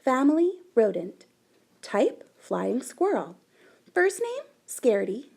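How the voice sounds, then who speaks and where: A woman reading a children's picture book aloud in an animated, deliberate way with dramatic emphasis. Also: high voice, gravelly. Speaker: storybook reader.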